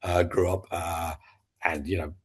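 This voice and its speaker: A man in his fifties with a low, slightly husky voice and a neutral British accent, though he grew up in Scotland. He tells stories animatedly and acts out his younger self in quoted asides. His talk is casual and fast. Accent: neutral British, not Scottish-sounding. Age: 50s. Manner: lively storyteller acting out his past self in quotes. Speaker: podcast guest, sales founder. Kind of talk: animated storytelling in casual chat.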